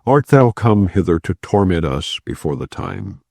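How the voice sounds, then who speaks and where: A man reading the pleas of two fierce possessed men in a measured, solemn way. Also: deep voice, animated, gravelly, darkish tone, dry recording, studio quality, exaggerated voice. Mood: pleading.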